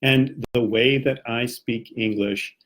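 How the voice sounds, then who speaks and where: A man's voice: mid-range voice, fairly even intonation, takes it slowly, fairly bright tone. A young man with a General American accent from Washington, D.C., teaching English on a live stream in a friendly, chatty way with many rhetorical questions. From Washington, D.C., United States.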